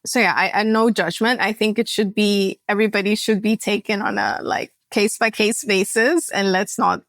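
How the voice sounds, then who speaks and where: A woman in her forties, born in Sudan with Sudanese Arabic as her first language, speaking as a guest in a relaxed, reflective interview, with many fillers and soft laughs. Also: mid-range voice, moderate pace, no echo. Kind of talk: casual interview conversation.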